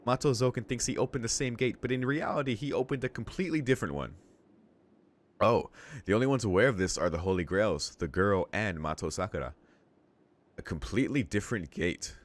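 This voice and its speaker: A man hosting a visual novel read-along, switching between narrating the text aloud and casual, halting reaction commentary. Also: on the low side, lively intonation. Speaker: let's-play host reading a visual novel aloud and reacting.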